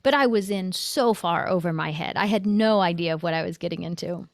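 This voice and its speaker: A middle-aged woman, a consultant and author, tells her story as an interview guest. She is animated and laughs easily. Her voice is recorded close and dry, with almost no room sound. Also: on the low side, a little fast, creaky, dry recording.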